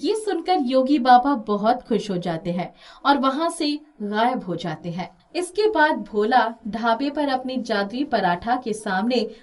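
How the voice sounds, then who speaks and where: A woman's voice: a little high, animated, a little slow. A woman narrating a scripted moral story as a storyteller.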